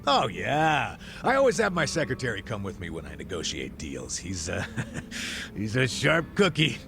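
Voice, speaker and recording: An actor voicing a blustering, slangy middle-aged ex-cop who bluffs as a rich benefactor in a video game. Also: male voice, lowish voice, wildly swooping pitch, moderate pace, vocal fry, music in the background, full character voice. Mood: flustered, putting on airs. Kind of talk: video game cutscene dialogue.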